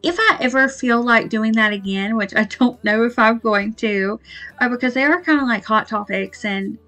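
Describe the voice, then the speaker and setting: A woman's voice: fairly high pitch, normal speed, rough recording. A woman, chatting casually to camera in her kitchen about homemaking, rambling with fillers. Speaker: homemaking vlogger. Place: small home kitchen, indoors. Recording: soft background music.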